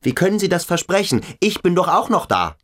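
An actor playing a stern, brooding boarding-school pupil who probes and commands in a German radio play. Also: male voice, sits high, big pitch swings, brisk, a bit bright. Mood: stern, accusing. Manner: insistent, probing, commanding.

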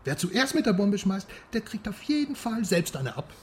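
An actor playing a talkative, teasing Western journalist with a theatrical manner in a radio crime drama. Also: male voice, high-pitched, sing-song, moderate pace, a little hiss or hum. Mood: playful musing. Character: chatty, mocking Western male journalist in East Berlin.

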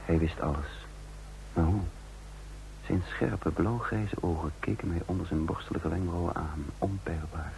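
An actor playing an uneasy impostor agent in an old radio drama, narrating his intrigue in a deliberate, hushed manner. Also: low voice, gravelly, a little hiss or hum. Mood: hushed, alarmed. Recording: muffled old radio play recording.

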